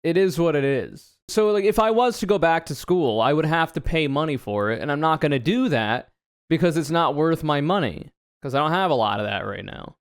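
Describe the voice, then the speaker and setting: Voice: mid-range voice, lively intonation, brisk, no echo, studio quality. A man in his twenties from the United States chatting casually on a livestream, reading questions aloud and answering with joking, sarcastic, rambling asides. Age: 20s.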